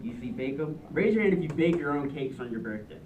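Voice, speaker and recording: A teacher reads aloud interactively with a lively, swinging delivery, often stopping to question the listeners. The voice sits back from the mic in an echoey room, with clear noise around it. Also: mid-range voice, quick pace, muffled recording. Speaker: teacher reading aloud to children. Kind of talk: interactive read-aloud storytelling. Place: indoor room with a group of children. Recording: roomy, distant, noisy classroom recording.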